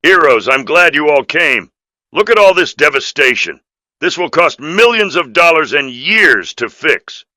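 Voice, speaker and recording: A man's voice: fairly high pitch, sing-song, unhurried, pushed and bright, no echo, studio quality, heavily acted. A man voicing an earnest, somber city official reporting grave news in fan-made game dialogue. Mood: upset, indignant.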